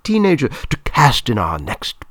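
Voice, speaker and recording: A pushy, businesslike woman in casting as a male narrator puts her on, pitched high and swinging widely for emphasis. She is eager and persuasive in an audiobook story, recorded dry and close. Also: huge pitch swings, unhurried, fairly bright tone, no room sound, exaggerated voice. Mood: businesslike, insistent. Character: brisk, persuasive female casting director, eager and insistent.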